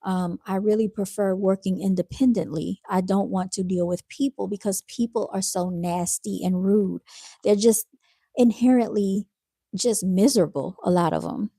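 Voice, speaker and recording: A woman with a soft-spoken, soothing voice who talks in a calm, unhurried way, hosting a casual live chat with her viewers. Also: mid-range voice, vocal fry, breathy edge, no echo, clean studio sound. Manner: calm and unhurried, with fillers and restarts. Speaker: live-stream host reading viewer comments.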